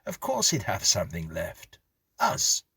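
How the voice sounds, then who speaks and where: A man's voice: low-pitched, huge pitch swings, unhurried, pushed and bright, breathy edge, no room sound, exaggerated voice. A man voicing an exasperated, resentful father in a story reading, emphatic and indignant. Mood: scornful disgust. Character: exasperated, resentful husband and father, insistent and scornful.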